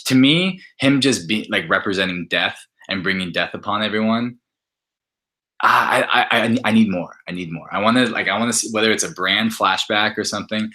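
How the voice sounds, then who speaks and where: A man speaking as a podcast guest in casual, halting chat peppered with "like". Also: fairly low pitch, sharp tone. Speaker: podcast guest, app maker.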